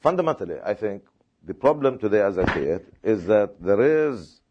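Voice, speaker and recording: Very low-voiced guy from palestine, slow halting panel answers, lots of uhs, kinda muffled recording. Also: sing-song, background noise. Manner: measured, halting, many "uh" fillers and repeated words. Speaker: panel speaker. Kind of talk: formal answers at a panel discussion.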